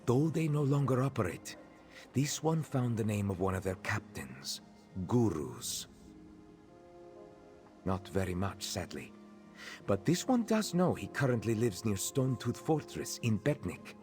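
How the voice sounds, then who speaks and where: Gentle, low-voiced cat-folk man, expressive. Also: faint music behind. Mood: measured, informative. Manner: measured, formal, speaks of himself in third person. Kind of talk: video game voice acting.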